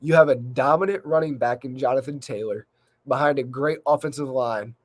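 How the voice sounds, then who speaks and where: A man hosting a solo sports recap in a measured, conversational way with emphasis on key points, his voice slightly worn by a cold. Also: fairly high pitch. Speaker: sports podcast host.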